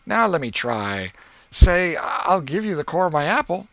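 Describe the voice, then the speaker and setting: Man as an eager, wheedling village boy, pitched up. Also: sing-song, unhurried, pushed and bright, no echo, full character voice. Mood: eager pleading. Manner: pleading, colloquial rural speech.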